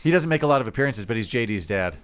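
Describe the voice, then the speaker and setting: A man's voice: medium pitch, lively intonation, rapid-fire, fairly bright tone, clean tone. An American man co-hosting a podcast in casual group conversation. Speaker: podcast host.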